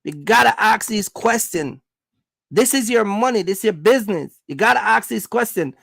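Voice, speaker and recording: High-pitched man giving casual, emphatic advice. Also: expressive, moderate pace, gravelly, fairly bright tone, no room sound, studio quality. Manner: repetitive, emphatic urging; colloquial, slangy phrasing. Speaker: host giving trucking business advice to viewers. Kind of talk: casual Q&A talk to viewers.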